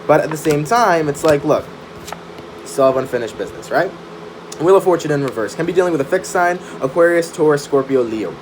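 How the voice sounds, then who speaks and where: A man's voice: fairly high pitch, fairly quick. A man giving a casual, chatty live-streamed tarot reading, full of fillers and "right?" tag questions. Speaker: tarot reader. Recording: steady background noise.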